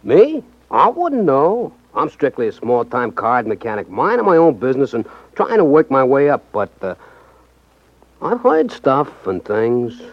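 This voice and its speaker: An American actor playing a sly, slangy small-time card sharp with a cagey, streetwise manner in a 1950s radio drama. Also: male voice, medium pitch, huge pitch swings, dry recording, exaggerated voice. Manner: streetwise, cagey, hesitant drawn-out phrases. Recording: muffled 1950s radio recording.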